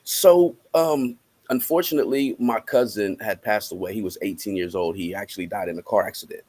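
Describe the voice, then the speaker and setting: A man with an American accent speaking casually as an interview guest, halting as he thinks aloud. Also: on the low side, a little mellow. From Cincinnati, United States. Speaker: interviewed musician and rapper.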